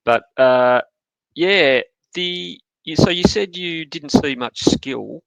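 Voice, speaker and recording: A man's voice: on the high side, creaky, smooth, no room sound, poor audio. A man who is a podcast guest, chatting casually about sport and often restarting his sentences. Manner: hesitant, restarts often, measured.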